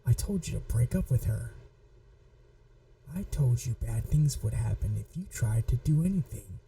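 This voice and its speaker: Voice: medium pitch, lively intonation, normal speed, mellow, recorded from a distance, close to an everyday voice, rough recording. A performer voicing a possessive, manipulative young yandere in an ASMR roleplay, taunting and coldly controlled. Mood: cold, reproachful. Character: possessive, manipulative yandere childhood friend, menacing young blackmailer. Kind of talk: scripted ASMR roleplay audio drama.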